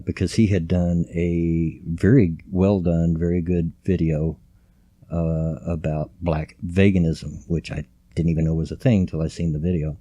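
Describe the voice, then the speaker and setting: A man's voice: deep voice, mellow, dry recording. An older man, rambling folksily through casual, grumpy commentary recorded at home late at night. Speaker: vlogger. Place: home at night, quiet room.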